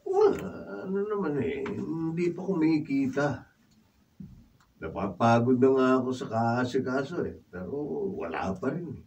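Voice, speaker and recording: An actor playing an irresponsible, hard-drinking, defensive older brother in a Filipino radio drama, with a Filipino accent. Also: male voice, medium pitch, sing-song, measured pace, darkish tone, lo-fi sound. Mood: sheepish. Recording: distant mic, faint room noise.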